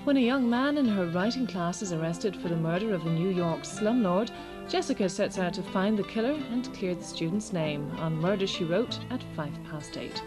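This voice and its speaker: An Irish-accented woman reading scripted television continuity announcements in a polished, measured way. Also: fairly low pitch, lively intonation, music in the background.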